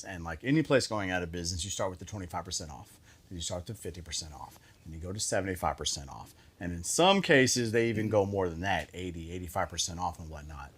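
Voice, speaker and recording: A man chatting casually as a show host, telling stories and repeating phrases for emphasis, with some mild swearing. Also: sits low. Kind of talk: casual storytelling chat.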